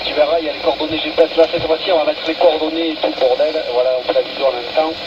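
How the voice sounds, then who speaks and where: Slangy, enthusiastic male radio hobbyist, fairly high voice, clearly noisy transmission. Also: steady tone, very fast, muffled recording. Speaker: amateur radio operator. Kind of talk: casual radio contact.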